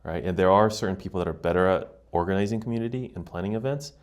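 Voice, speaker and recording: American male podcast guest, low-pitched, conversational, reflective explainer. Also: fast talker, darkish tone, clean tone. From United States. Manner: reflective, explanatory, often checks in with "right?". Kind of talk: casual conversational interview.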